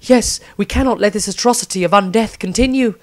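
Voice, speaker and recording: Man doing a storybook priestess, raised pitch, solemn, righteous, dutiful. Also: brisk, darkish tone, lightly acted. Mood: righteous resolve. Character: earnest, dutiful woman priest of a holy order.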